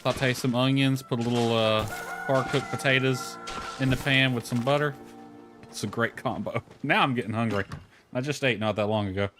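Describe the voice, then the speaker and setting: Casual male game streamer over soft music. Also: medium pitch, fairly even intonation, moderate pace. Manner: chatty, thinking aloud while playing. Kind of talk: casual livestream gameplay chat. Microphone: headset.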